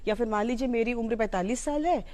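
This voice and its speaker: A woman's voice: a little high, fast talker, a little mellow. A woman with an Indian accent giving an informal, explanatory educational talk, mixing in English terms. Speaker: psychology educator.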